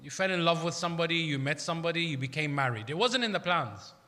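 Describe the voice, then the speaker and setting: A man's voice: a little high, animated, fast, bright, forceful tone. A man from Iraq preaching a sermon in a conversational, emphatic manner, with rhetorical questions. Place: large hall at an Islamic centre. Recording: echoey hall with long reverb.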